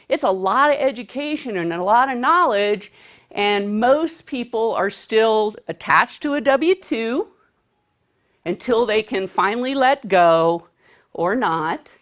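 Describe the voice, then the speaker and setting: A woman addresses a seminar audience in an unhurried, deliberate way. She projects her voice to reach the back of the room and keeps asking the listeners questions. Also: medium pitch, fairly bright tone. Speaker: speaker. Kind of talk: seminar talk to a live audience.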